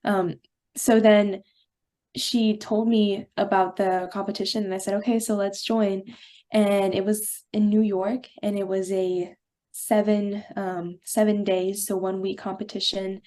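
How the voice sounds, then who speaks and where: A woman's voice: medium pitch, flat delivery, a little slow, warm tone. Giggly teenage girl from Brazil. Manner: bubbly, giggly, hesitant with fillers. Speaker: guest actress. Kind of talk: casual interview answers.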